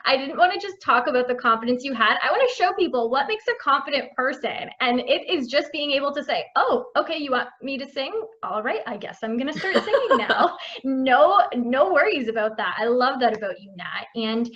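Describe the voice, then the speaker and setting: A woman's voice: high voice, animated, fairly quick, few pauses, fairly bright tone. A woman hosting an interview podcast, talking in a casual, upbeat, chatty way and laughing easily. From Italian family background. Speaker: podcast host. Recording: slightly dull, lo-fi sound.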